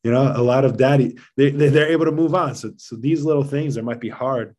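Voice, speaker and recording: A man's voice: medium pitch, a little fast, a little mellow. A man speaking as a podcast guest in casual conversation, explaining things in an earnest, emphatic way. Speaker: podcast guest, father of seven.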